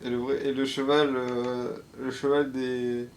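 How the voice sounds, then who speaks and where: A man's voice: medium pitch, level tone, a little slow, slight background noise. A man chatting casually and playfully as co-host of a reaction stream. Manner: playful, giggly. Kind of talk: casual joking chat.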